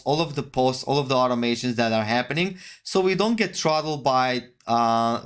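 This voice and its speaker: Male tutorial presenter, mid-pitched voice, informal step-by-step explanations for a screen-share walkthrough. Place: voice-over for a screen recording.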